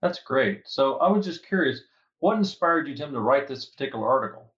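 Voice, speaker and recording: A man's voice: mid-range voice, animated, brisk, a bit bright. A man hosting an interview, opening with scripted introductions and asking questions in an upbeat, friendly tone. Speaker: interview host, security advisor. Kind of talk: hosted interview. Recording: slightly dull lo-fi audio.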